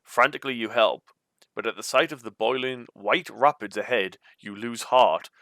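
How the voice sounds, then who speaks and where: A man with a bright, forward voice reads a gamebook aloud as its host. He mixes storybook narration with informal, chatty asides, in a dry, close-sounding recording. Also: medium pitch, normal speed, vocal fry, no echo. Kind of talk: storybook read-aloud with casual commentary.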